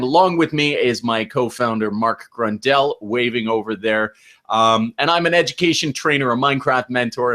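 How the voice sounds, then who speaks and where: A male host talks on a video call with enthusiasm. His pitch moves up and down a lot, and he sounds upbeat and chatty. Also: a bit bright. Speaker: host, co-founder of the chat. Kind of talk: casual video chat.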